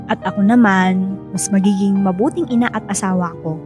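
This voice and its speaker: An actress playing a playful, doting young pregnant wife in a Filipino radio drama. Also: female voice, mid-range voice, fairly even intonation, a little fast, lightly acted. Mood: happy, hopeful. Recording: music clearly behind the voice.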